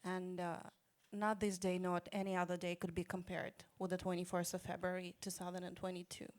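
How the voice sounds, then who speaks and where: A woman from Ukraine, an English speaker and government minister, answering on a panel in a measured, earnest way with hesitant uhs. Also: on the low side, completely flat delivery.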